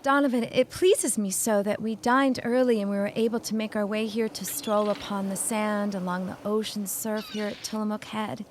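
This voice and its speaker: An actress playing a refined young widow of 1916 in a period audio drama, speaking in genteel, formal, old-fashioned phrases. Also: female voice, mid-range voice, steady tone, moderate pace, few pauses, close to an everyday voice. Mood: serene. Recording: clear background ambience noise.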